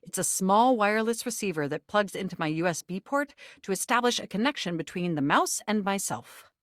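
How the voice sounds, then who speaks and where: A helpful female computer character from a video game, acted by a woman, recorded very dry. She is polite and precise in her wording, yet excitable and geeky. Her pitch is mid-range and moves around with lots of animation. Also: brisk, dry recording, natural voice. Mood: cheerful, formal. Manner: formal, precise wording with bursts of giddy slang.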